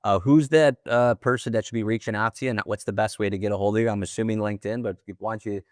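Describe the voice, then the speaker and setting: Chatty low-voiced man hosting. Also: steady tone, fast talker, dry recording, clean studio sound. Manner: chatty, effusive, repeats himself. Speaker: podcast host. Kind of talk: conversational interview.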